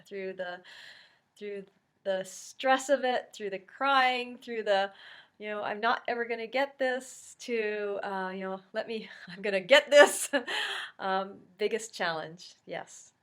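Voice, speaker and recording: A woman's voice: mid-range voice, slow, fairly bright tone. Woman, middle-aged, congested, nasal from a cold, casual vlog chat, rambling. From Canada. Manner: chatty, rambling, many ums and you-knows. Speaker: YouTube vlogger.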